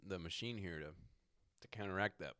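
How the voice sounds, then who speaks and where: A man's voice: deep voice, brisk, bright, forceful tone. A man co-hosting a podcast and running its recording, joking, laughing often and swearing in casual chat. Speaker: podcast co-host who runs the recording.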